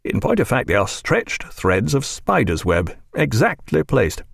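A man voicing a bluff naval captain who talks in a brisk, fussing, instructive way. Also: medium pitch, wildly swooping pitch, creaky, breathy edge, dry recording, clean studio sound, exaggerated voice. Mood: fussy. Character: bluff, fussy naval captain fond of gadgets.

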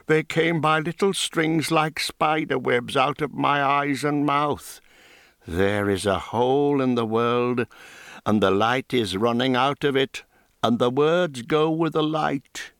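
A man's voice: a bit bright, clean tone, no room sound, clean studio sound. A man reading an audiobook, voicing a broken, haunted old woman dyer in a strained, raised voice. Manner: slow, strained, rising high pitch. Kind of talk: audiobook character voice.

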